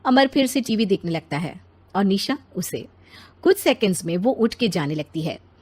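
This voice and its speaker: A woman's voice: medium pitch, animated, normal speed, a little mellow, breathy edge. A woman narrating a scripted children's moral story.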